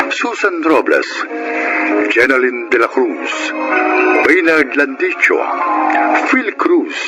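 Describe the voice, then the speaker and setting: Guy announcer for a radio drama, lively dramatic read, music really loud under him. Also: medium pitch, rough recording.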